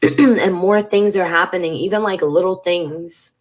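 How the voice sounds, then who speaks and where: A woman's voice: on the low side, measured pace. A woman vlogger with a congested, stuffy voice, talking frankly and emotionally to camera and swearing casually. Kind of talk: candid talk to camera.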